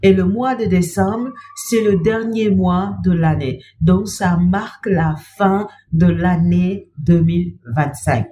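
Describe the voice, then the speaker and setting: Slow-speaking woman with a cold. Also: fairly low pitch, a little hiss or hum. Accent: French. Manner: slow, gentle, repeats "bien-aimé" and pauses with "euh". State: has a cold. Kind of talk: devotional talk to camera.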